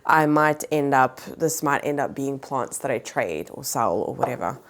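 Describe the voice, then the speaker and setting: Low-voiced nasal woman chatting casually. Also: lively intonation, moderate pace. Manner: chatty, rambling with fillers. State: recovering from a cold. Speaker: plant vlogger, mother. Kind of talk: casual chat.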